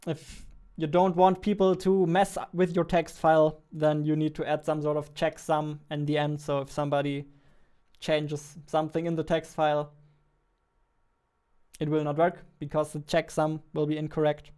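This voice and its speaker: Man, 20s, casual streamer, smooth voice on the higher side, informal, close studio mic. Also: level tone, normal speed, mellow. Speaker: game developer live-streamer. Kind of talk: casual live-stream chat. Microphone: close studio mic on boom arm.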